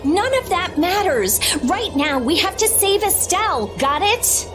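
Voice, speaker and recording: A woman's voice: extremely high pitch, lively intonation, unhurried. A woman voicing a small, earnest, emotional young boy adventurer in a video game, with music behind. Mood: emotional, determined.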